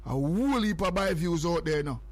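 A man's voice: on the high side, animated, a little fast. A man with a Jamaican accent hosting a morning radio show, repeating phrases and numbers over and over to hype his listeners. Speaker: radio host.

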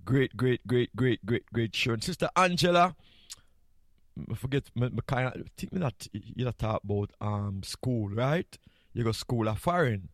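A man's voice: medium pitch, lively intonation, a little slow, dry recording, clean studio sound. A man with a Jamaican accent, sitting in as a morning radio host, chatting jovially with chuckles and repeated greetings. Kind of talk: casual morning radio chat.

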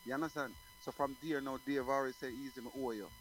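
A man's voice: medium pitch, fast, a bit bright, a little hiss or hum, poor audio. A middle-aged man from Jamaica, a guest singer talking casually in an interview, rambling with many restarts.

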